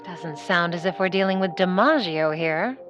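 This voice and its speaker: An actress playing an ambitious, sardonic aspiring film star in a 1940s-style noir audio drama, dry and theatrical. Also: female voice, on the low side, moderate pace, fairly bright tone, dull audio, soft background music, close to an everyday voice. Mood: coaxing.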